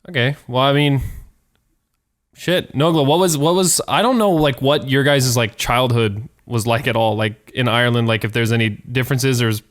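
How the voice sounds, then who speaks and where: A man's voice: medium pitch, moderate pace. A man with a Midwestern American accent, hosting a podcast in laid-back casual chat and swearing casually. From Indiana, USA. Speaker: podcast host.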